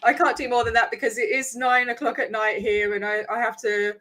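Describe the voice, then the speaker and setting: An Australian woman, a guest on a casual interview stream, friendly and quick to laugh. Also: on the high side, level tone, over a noisy crowd.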